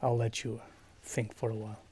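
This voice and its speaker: A man from Portugal with a Portuguese accent, chatting quietly on a stream, hesitant, with many fillers and slips into whispers. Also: medium pitch, level tone, a little fast, slight background noise. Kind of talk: quiet casual chat with viewers.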